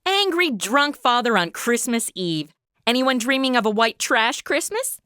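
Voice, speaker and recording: A woman plays a sassy sceptic in a comedy audio play. The high voice is bright and very animated, arguing with sharp sarcasm. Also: a little slow, smooth, no room sound, heavily acted. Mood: sarcastic. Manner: sarcastic, argumentative. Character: sassy, sceptical young female commentator. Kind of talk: comedy audio drama commentary.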